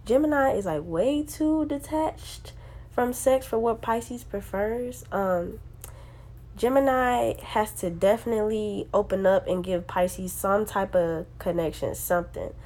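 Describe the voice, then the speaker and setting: A woman's voice: medium pitch, measured pace, a little mellow. A young woman who sounds congested from a sinus infection, chatting casually to her viewers with lots of slang and "um" and "like". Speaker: astrology video host. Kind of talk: casual talk to camera.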